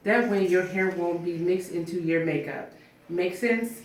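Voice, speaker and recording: A woman's voice: on the low side, unhurried, close to an everyday voice. A woman playing a friendly, chatty female barber in a cold read of a film script. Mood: relaxed, friendly. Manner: casual, plain-spoken, chatty. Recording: very echoey room, distant mic, faint noise.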